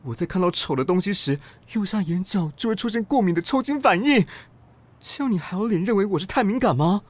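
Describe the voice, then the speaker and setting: A man's voice: high voice, lively intonation, a little fast. A man playing a fussy, petulant magazine boss who despises ugliness, in a radio drama. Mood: agitated, outraged. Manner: melodramatic, petulant.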